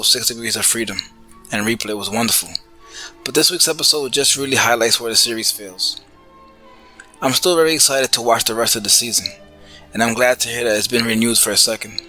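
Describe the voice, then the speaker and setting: Bright-voiced man giving a prepared review. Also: medium pitch, a little fast, faint music behind, rough recording. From the Bronx, New York, United States. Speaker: podcast listener sending in feedback.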